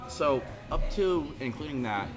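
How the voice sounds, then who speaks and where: A man's voice: medium pitch, lively intonation, normal speed, over music. A man in his thirties from Central California, a podcast guest talking casually and rambling from one point to the next. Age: 30s. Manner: rambling, discursive. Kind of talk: casual chat. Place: bar.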